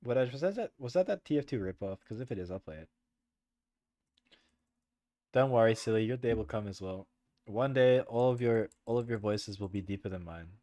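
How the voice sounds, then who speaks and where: A young man with a soft voice rambles casually to his stream audience, his pitch swinging up and down as he talks. He leans on "like" and "bro" and keeps breaking into laughter. The sound is dry and close, with no room around it. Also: expressive, a little fast, long pauses, dry recording. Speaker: livestreamer. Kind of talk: casual chat with stream viewers.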